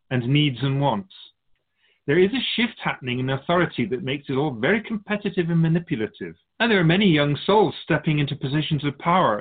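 A man playing a calm, wise otherworldly spirit guide in a radio drama, measured, philosophical and gently teasing. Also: on the high side. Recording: muffled phone-like narrow band.

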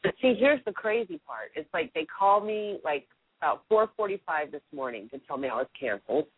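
A woman with a deep voice, sick with a cold, chatting casually and giggling as co-host. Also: big pitch swings, brisk, slightly rough, dry recording. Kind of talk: casual chat. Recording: tinny phone line.

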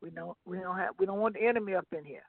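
A woman's voice: on the low side, rapid-fire, vocal fry, dry recording. A woman co-hosting a gospel radio talk show, bantering with sassy teasing and then leading a prayer. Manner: sassy, animated, playful teasing. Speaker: co-host and evangelist. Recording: dry tinny phone-line audio.

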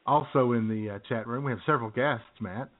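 A man co-hosting an online talk show, trading jokey, deadpan banter in a mid-pitched voice. The audio is thin and phone-like. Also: a little fast. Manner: jokey, deadpan, laughs at his own lines. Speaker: co-host of an internet radio show. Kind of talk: casual banter on a call-in radio show. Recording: tinny phone-line internet radio audio.

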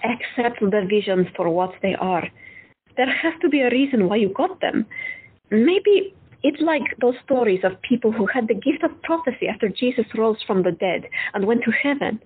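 A woman playing a frank, unconventional woman doctor who talks bluntly and candidly with a slightly husky voice. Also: fairly high pitch. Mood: earnest. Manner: blunt, candid, lightly teasing. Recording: tinny phone-like audio.

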